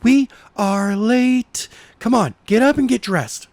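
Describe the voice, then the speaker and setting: Teenage girlfriend, nagging and urgent, raised pitch, acted by a male narrator. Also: slow pace, darkish tone, no echo, heavily acted. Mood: exasperated. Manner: insistent, nagging.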